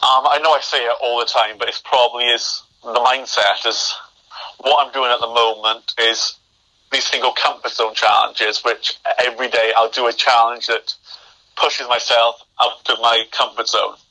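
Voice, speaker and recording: A young man with a very bright, sharp voice talks as a podcast guest. He stammers, restarting and blocking on words, and the audio comes through a remote call. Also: medium pitch, flat delivery, normal speed, rough recording. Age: young adult. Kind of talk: interview conversation.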